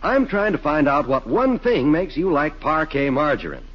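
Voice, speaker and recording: A man's voice: on the high side, very animated, normal speed, faint music behind. A man announcing in a 1940s radio style, emphatic and salesmanlike, with scripted narration. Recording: muffled 1940s radio recording.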